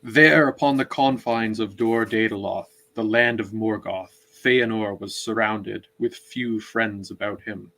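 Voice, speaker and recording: A man's voice: fairly low pitch, steady tone, a little slow, few pauses, pushed and bright, rough recording. A man co-hosting a book read-along, discussing and reading passages aloud.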